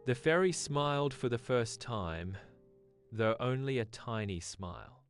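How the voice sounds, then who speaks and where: Very low-pitched woman storyteller. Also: expressive, measured pace, faint music behind. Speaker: storyteller, narrator. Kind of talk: scripted children's bedtime story narration.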